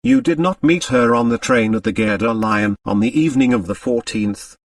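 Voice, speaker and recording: A man's voice: mid-range voice, normal speed, no room sound, far from the mic, natural voice. A man voicing a polite, formal French examining magistrate who questions carefully, in an audiobook. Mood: probing. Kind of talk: audiobook character dialogue.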